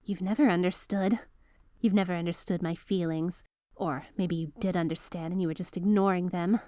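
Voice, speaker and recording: A woman's voice: lowish voice, steady tone, normal speed, dark tone, no echo, clean studio sound, natural voice. A woman voicing a yandere veteran magical girl, an obsessive, lovestruck teacher, in an ASMR roleplay audio drama with a coaxing, confiding manner. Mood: bitter, lovesick confession.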